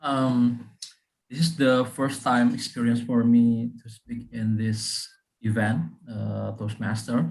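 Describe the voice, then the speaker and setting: A man's voice: medium pitch, little pitch movement, slow pace, creaky, a little mellow, poor audio. A man from Indonesia giving short, hesitant remarks at an online club meeting, full of fillers. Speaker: club member, speech winner. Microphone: video call.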